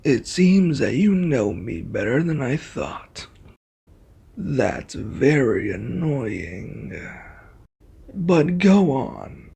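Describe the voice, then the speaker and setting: A man voicing an arrogant, menacing reluctant ally in an ASMR roleplay, with a husky, taunting, threatening delivery. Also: medium pitch, sing-song, extremely slow, dark tone, full character voice. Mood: mocking.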